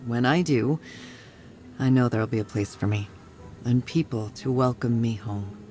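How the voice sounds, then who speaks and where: A woman's voice: very deep voice, moderate pace, mellow. An actress playing a battle-weary, resilient woman soldier in a video game, speaking plainly and reflectively with a wry edge. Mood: relieved, resolute. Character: battle-weary, resilient female soldier, tough but tender. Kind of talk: dramatic video game cutscene acting. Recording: music or faint ambient noise behind.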